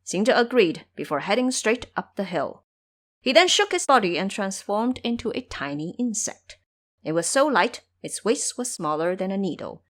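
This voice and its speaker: A woman's voice: medium pitch, big pitch swings, normal speed. A woman narrating an audio drama in a scripted storytelling style.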